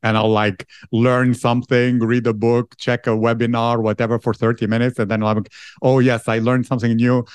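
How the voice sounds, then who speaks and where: A man's voice: mid-range voice, flat delivery, normal speed, clean tone, no room sound. Host: man, mid-range voice, thoughtful interviewer who sums up and probes, dry close sound. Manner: thoughtful, summarizing and probing. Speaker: podcast host. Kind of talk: reflective interview questions.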